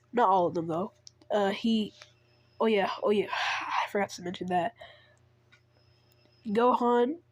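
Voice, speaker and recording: A woman's voice: mid-range voice, a little mellow, no room sound. Sick girl rambling thru a story, lots of ums and restarts, super dry sound. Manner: rambling, many fillers and restarts. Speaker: fan storyteller. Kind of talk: casual spoken retelling of a made-up story.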